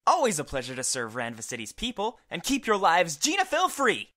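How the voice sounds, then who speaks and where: A woman's voice: lowish voice, huge pitch swings, a little fast, fairly bright tone, no room sound, clean studio sound, exaggerated voice. A woman voicing a pompous, demanding male leader of an exterminator squad, with formal, theatrical speech in a visual novel. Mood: haughty.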